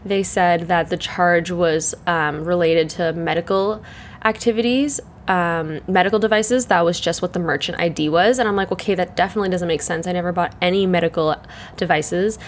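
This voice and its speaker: A young woman hosting her solo podcast, chatting in a rambling way full of fillers, her voice croaky and congested from the morning. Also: on the low side, normal speed, slight background noise. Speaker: podcast host. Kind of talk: casual solo chat.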